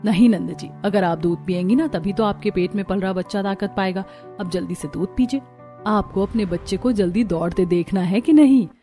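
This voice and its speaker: A woman's voice: medium pitch, moderate pace, warm tone, faint music behind, natural voice. A woman playing a caring, dutiful young sister-in-law in a cartoon family story. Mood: caring, coaxing.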